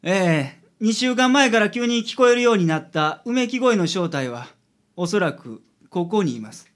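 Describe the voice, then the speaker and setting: A man's voice: high voice, animated, moderate pace. A man playing a confident, shrewd private detective in a radio drama, theatrical, with a Kansai accent. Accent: Kansai Japanese.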